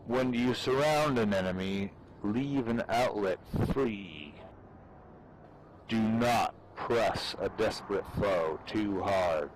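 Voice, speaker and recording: A man giving a solemn, deliberate dramatic reading of a military treatise, with pauses between phrases. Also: lowish voice, background noise. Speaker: amateur reader performing for fellow gamers.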